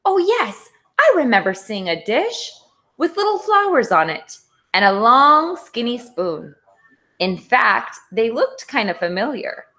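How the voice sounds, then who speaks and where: Talking fork, voiced by a woman: helpful storybook utensil, rambling, thoughtful, very varied pitch. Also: sing-song, measured pace, sharp tone, full character voice. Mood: musing.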